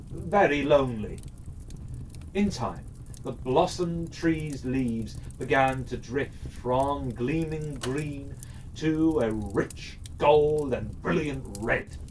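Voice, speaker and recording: A storyteller narrating a children's fairytale expressively, with dramatic, deliberate phrasing. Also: medium pitch. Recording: slightly distant mic, faint noise.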